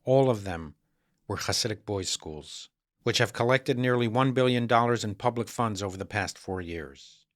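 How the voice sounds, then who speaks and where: A man's voice: lowish voice, moderate pace, no echo, clean studio sound. A man, a rabbi hosting an interview podcast with scripted introductions and questions.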